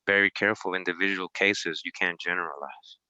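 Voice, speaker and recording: A man's voice: deep voice, fairly even intonation, fairly quick, sharp tone, clear, resonant tone, no echo, highs cut off. A man from Costa Rica in a casual discussion, speaking informally and often adding "you know.".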